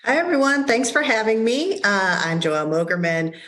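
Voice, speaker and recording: A woman's voice: on the high side, very animated, moderate pace, a bit bright. A woman from Chicago, a conservation biologist, introducing herself on a panel in a friendly way with fillers between phrases.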